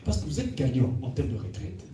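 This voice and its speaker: A man's voice: medium pitch, very animated, fairly quick, mellow. A man in a church discussion who talks in a hesitant, repetitive way and often adds short affirming remarks. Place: church hall. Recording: echoey hall from far off.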